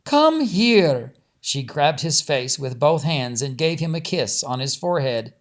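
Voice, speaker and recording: A man's voice: mid-range voice, moderate pace. A man, an author reading his own storybook and chatting casually around it. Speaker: author and narrator. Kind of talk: storybook narration and casual chat.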